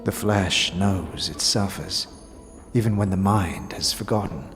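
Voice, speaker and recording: A man voicing a solemn, cryptic otherworldly being in a fantasy audio drama, measured and portentous, drenched in reverb. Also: sits low. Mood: solemn, ominous. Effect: long reverb. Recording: long reverb with music behind.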